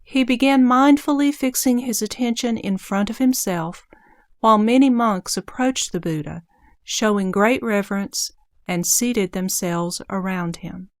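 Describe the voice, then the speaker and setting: A woman narrating a scripture audio drama in a measured, formal storytelling manner. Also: mid-range voice, dry recording, studio quality.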